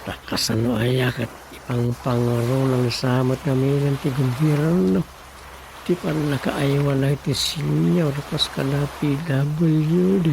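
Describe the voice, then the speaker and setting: Slow, creaky-voiced Ilocano man. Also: medium pitch, fairly even intonation, background noise. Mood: musing. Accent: Filipino, Ilocano. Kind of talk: radio drama acting.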